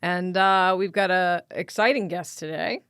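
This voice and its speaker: A woman hosting an improvised comedy podcast as its producer, matter-of-fact with deadpan asides. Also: lowish voice, unhurried, clear, resonant tone, no room sound, studio quality.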